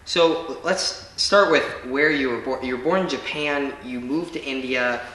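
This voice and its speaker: A man's voice: medium pitch, measured pace, pushed and bright. A young man hosting a student TV interview show, with upbeat, scripted introductions and questions. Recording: echoey room.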